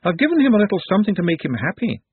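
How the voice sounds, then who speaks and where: Man voicing a breezy doctor, husky, slightly raised pitch. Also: wildly swooping pitch, racing, dry recording, heavily acted. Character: breezy matter-of-fact male doctor. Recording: tinny phone-like band.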